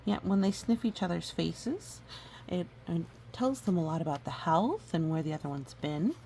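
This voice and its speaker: A woman reading a storybook aloud gently and adding educational asides. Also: fairly low pitch, expressive, moderate pace, creaky, poor audio. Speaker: storybook reader. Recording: faint background noise.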